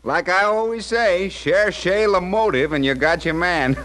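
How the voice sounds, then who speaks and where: An actor playing a brisk, matter-of-fact police detective in a 1950 radio drama. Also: male voice, high-pitched, a bit bright. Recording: old radio broadcast with faint noise.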